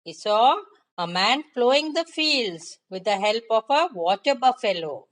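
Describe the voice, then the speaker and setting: A woman with an Indian accent telling a story to young children, with deliberate phrasing and questions to the listeners. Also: on the high side, expressive.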